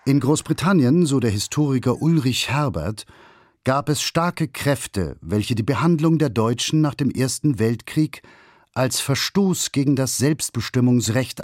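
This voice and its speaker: A man's voice: mid-range voice, darkish tone, dry recording, studio quality. A man reading a scripted historical documentary as its narrator.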